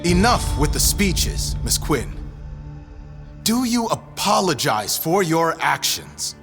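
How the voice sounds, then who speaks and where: A stern academic official in an audio drama. His voice sits fairly high and swings widely in pitch as he delivers measured, pompous pronouncements. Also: very animated, gravelly, fairly bright tone, full character voice. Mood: impatient, demanding. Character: stern, formal male vice chancellor of a scholars' guild. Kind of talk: scripted audio drama acting. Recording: music behind the voice.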